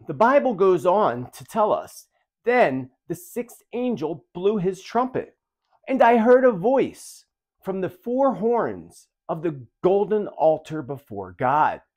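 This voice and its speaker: A man's voice: on the high side, a little mellow. A man hosting a video, narrating prophecy in a dramatic, emphatic, theatrical way. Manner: emphatic, theatrical, rhetorical questions. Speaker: video host and self-described predictor.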